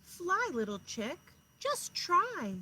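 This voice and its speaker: A woman voicing an encouraging owl parent in a children's storybook, coaxing with drawn-out, emphatic words. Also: extremely high pitch, sing-song, bright, forceful tone, voice pushed up, heavily acted. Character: encouraging owl parents, mother and father, firmly urging. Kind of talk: children's storybook read-aloud. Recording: clear background noise, outdoors.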